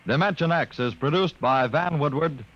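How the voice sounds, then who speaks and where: A man's voice: on the high side, normal speed, a bit bright. A man announcing a 1950s radio show in scripted style. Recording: muffled old radio recording.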